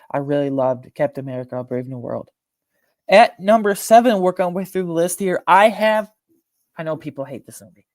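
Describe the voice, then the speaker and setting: Casual movie-ranking host: mid-pitched, conversational, slangy, emphatic and opinionated, recorded dry. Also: no room sound. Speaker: video host giving a film ranking.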